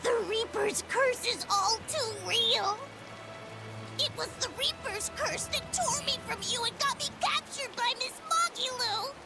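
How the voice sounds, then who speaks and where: A tiny video game creature with an extremely high, squeaky voice. It is excitable, doting and melodramatic, talking in florid phrases. Loud music plays behind it. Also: female voice, a little slow, rough recording. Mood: dramatic. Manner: theatrical, florid wording.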